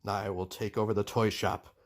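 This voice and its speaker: A man's voice: fairly low pitch, flat delivery, fairly quick, natural voice. A man voicing a mean, scheming villain from a children's storybook in a gloating manner.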